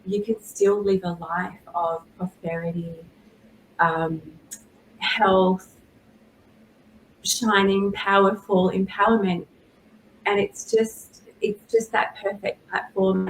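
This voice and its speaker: A woman from Australia, a guest coach, answering earnestly and softly. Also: mid-range voice, takes it slowly, roomy sound, a little hiss or hum, low-quality recording. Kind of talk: interview answers.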